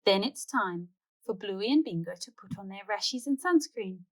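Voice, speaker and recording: A woman's voice: mid-range voice, lively intonation, normal speed. A woman hosting a read-aloud, narrating a children's storybook expressively.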